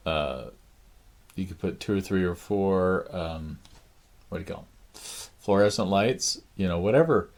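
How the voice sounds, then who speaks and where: Man, art teacher, raspy, gravelly, slow and unhurried, low voice. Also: darkish tone. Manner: slow, unhurried, thinking aloud with many pauses. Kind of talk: drawing lesson, talking while sketching. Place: art studio.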